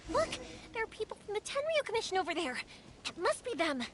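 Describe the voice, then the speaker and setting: A young woman voicing a spirited, caring fireworks maker in a video game, expressive and earnest. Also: very high voice, quick pace, a little hiss or hum, heavily acted. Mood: determined.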